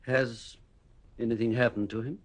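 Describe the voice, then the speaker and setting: An actor playing a sinister, wealthy gentleman with a soft, snaky, silky voice and a polished, condescending manner, in old-style radio drama acting. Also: mid-range voice, steady tone, normal speed, close to an everyday voice. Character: smooth, sinister gentleman racehorse owner.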